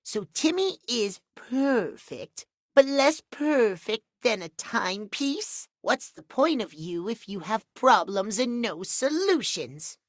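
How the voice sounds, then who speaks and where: A man's voice: very high voice, big pitch swings, slow, few pauses, a bit bright, no room sound, studio quality, heavily acted. A man voicing a cutesy, sulky, lazy catboy clock in a video game, with childlike pouting. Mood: whiny, sulky. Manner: childlike pouting, speaks of self in third person. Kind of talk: video game character acting.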